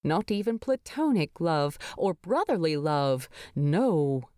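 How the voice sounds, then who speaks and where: A woman narrating a story from a script in an expressive storytelling style. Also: sits low, slow, dry recording, clean studio sound. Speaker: fan fiction narrator.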